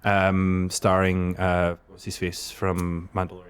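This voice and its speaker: A Scottish man with a fairly low voice hosts a casual podcast discussion. He speaks hesitantly, often repeating words as he works toward his point. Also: completely flat delivery, a little slow, clear, resonant tone. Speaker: podcast host, game shop staff.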